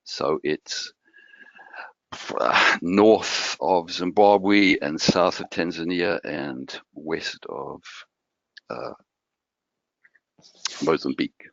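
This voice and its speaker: A man from Malawi giving a guest technical presentation over a remote call, explaining in a relaxed way with some restarts. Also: low voice, drawn-out words, vocal fry, pauses often, dry recording. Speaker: guest speaker. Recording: dull lo-fi audio.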